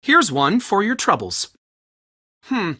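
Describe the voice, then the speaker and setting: Eccentric bookish curator, high, animated voice. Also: male voice, slow, husky, no echo, clean studio sound, full character voice. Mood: chatty, proud. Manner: theatrical, wordy, wryly dramatic. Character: eccentric, bookish, anxious male culture center curator Soren. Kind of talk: video game voice acting.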